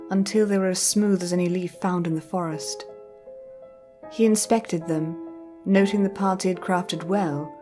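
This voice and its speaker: A woman storyteller reading scripted fiction narration in a measured, careful way. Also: lowish voice.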